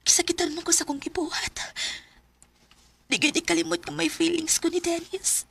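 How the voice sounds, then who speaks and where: A woman with a Filipino accent playing a gentle, earnest, lovelorn teenage schoolgirl in a radio drama. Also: very high voice, little pitch movement, normal speed, vocal fry, no echo, heavily acted. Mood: hurt, wistful. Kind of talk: Philippine radio drama acting.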